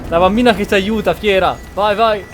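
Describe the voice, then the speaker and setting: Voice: medium pitch, quick pace. A gamer with an Italian accent giving casual, reactive commentary on a playthrough. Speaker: gamer host. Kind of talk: casual let's play commentary. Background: game music.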